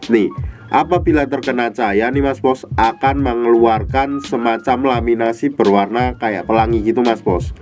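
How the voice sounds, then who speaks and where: A man's voice: mid-range voice, normal speed, a little mellow. A man with a nasal, stuffy voice from a cold, chattily explaining products to viewers as a vlog host. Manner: chatty, repeatedly addressing the viewer. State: congested from a cold. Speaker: online product seller and video host. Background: music behind the voice.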